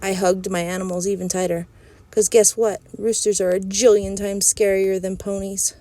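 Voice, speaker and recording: A woman reading a children's book aloud in a clear storytelling voice. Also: medium pitch, little pitch movement, moderate pace, dark tone, a little hiss or hum. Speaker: audiobook reader.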